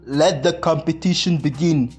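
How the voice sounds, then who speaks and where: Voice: medium pitch, moderate pace, breathy edge, lightly acted. Narrator playing male lion ruler in a folktale: stately, fair-minded, music under. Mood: commanding.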